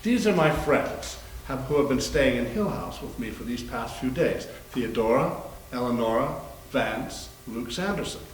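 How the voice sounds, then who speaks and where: A man's voice: medium pitch, animated, normal speed, natural voice. Man playing a flustered doctor with swooping intonation. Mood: flustered, placating. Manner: hesitant, fussy. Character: flustered, courteous academic doctor, henpecked husband. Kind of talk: readers theater acting and narration. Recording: echoey room, faint background noise.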